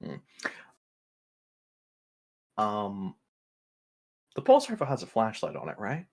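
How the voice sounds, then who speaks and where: A man's voice: on the low side, wildly swooping pitch, slow, gravelly, a little mellow, breathy edge. A man playing a tabletop roleplaying game, bantering casually with joking profanity.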